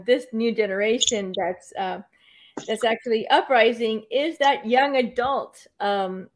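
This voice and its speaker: A woman hosting an online interview, hesitant and full of fillers and restarts. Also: fairly high pitch. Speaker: host.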